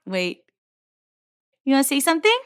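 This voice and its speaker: Giggly woman player joking around, high bright voice that jumps around. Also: big pitch swings, moderate pace. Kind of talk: casual chat between players.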